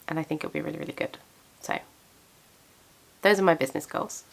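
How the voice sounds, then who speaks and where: A woman's voice: sits low, fairly even intonation, a little fast, creaky. A young woman chatting casually to camera about her craft business and plans, self-deprecating and a little congested from a cold. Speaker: craft business owner and vlogger.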